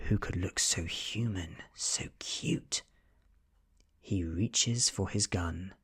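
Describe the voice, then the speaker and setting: A British man narrates a story in soft ASMR style. His voice is deep and husky, often falling to a whisper. He reads at a slow, measured pace. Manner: hushed, often dropping to a whisper. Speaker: audiobook reader.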